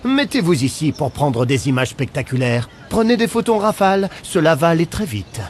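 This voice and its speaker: A man voicing an excitable, boastful inventor in a cartoon, with an enthusiastic salesman's delivery. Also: a little high, normal speed, slight background noise. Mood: excited, proud. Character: excitable, boastful male inventor of robotic scooters. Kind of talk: cartoon voice acting.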